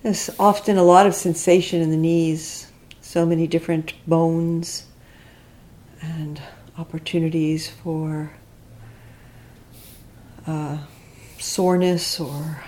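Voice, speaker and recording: A woman teacher leading a guided body scan meditation, speaking in gentle, reflective, unhurried instructions with long pauses. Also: sits low, fairly even intonation.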